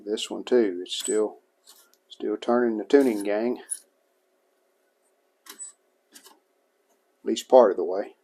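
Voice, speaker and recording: Slow-talking, low-pitched man. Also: warm tone. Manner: unhurried, plain-spoken thinking aloud while working. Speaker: hobbyist restorer presenting a repair video. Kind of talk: casual hands-on repair narration.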